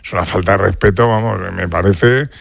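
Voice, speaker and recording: A male radio pundit with a low voice who stresses his points firmly. The sound is dry and close. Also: a little fast, creaky, no room sound. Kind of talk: radio sports chat.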